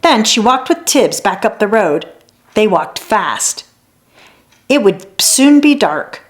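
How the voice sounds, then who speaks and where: A woman reads a children's story aloud in an expressive, deliberate way. Her mid-range voice moves freely up and down as she tells the tale. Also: medium pitch. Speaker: children's read-aloud narrator. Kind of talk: scripted storybook narration.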